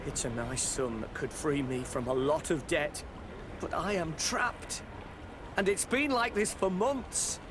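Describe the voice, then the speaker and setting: A man's voice: fairly high pitch, measured pace, natural voice. A man playing an evasive, beleaguered customs officer in a video game, hesitant, stammering and deferential. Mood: desperate. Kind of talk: video game cutscene acting. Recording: noisy outdoor ambience behind the voice.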